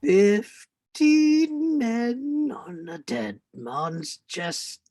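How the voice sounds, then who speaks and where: A woman's voice: on the high side, sing-song, extremely slow, warm tone, exaggerated voice. A woman playing an eerie pirate voice that wails and cries out in a radio play. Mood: haunting, wailing. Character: eerie ghostly pirate voice, wailing and crying out.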